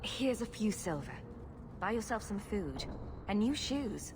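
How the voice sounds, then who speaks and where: Wry rogue heroine, mid-pitched. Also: female voice, big pitch swings, unhurried. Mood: matter-of-fact. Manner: wry, flippant, teasing. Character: wry, sarcastic rogue heroine, quick-witted adventurer. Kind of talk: video game voice acting. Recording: faint background ambience noise.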